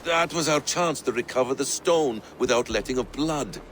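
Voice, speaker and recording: An actor playing a stern, calculating adviser to a Viking lord in a video game, grave and admonishing. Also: male voice, mid-range voice, normal speed, fairly bright tone, slight background noise, lightly acted. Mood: stern, reproachful. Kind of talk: video game cutscene acting.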